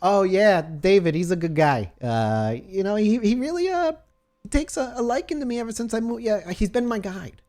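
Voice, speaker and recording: Guy doing a chatty shy receptionist lady for an rpg, voice way up high, kinda hesitant and folksy. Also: sing-song, normal speed, darkish tone. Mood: fond, bashful. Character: friendly, chatty, shy young female receptionist Susie.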